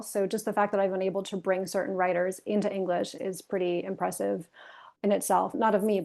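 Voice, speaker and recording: A woman editor and translator who grew up in the United States, talking thoughtfully in a roundtable over a video call. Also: mid-range voice. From Tunisia, raised in the United States. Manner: thoughtful, animated, talks through ideas with restarts. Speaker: managing editor and literary translator. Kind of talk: roundtable discussion.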